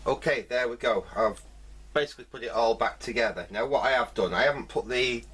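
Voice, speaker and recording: A man's voice: medium pitch, a little fast, fairly bright tone, slightly rough. A man giving a casual hands-on repair commentary, thinking aloud hesitantly in fragments. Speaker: host of a vintage electronics repair video.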